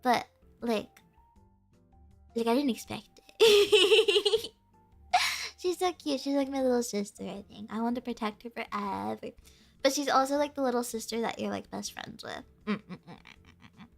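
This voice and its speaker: Giggly high-voiced young woman streamer. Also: normal speed, vocal fry, pauses often, faint music behind. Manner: bubbly, giggly, lots of "like" fillers. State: stuffy nose, sniffly. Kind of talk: casual chat with stream chat.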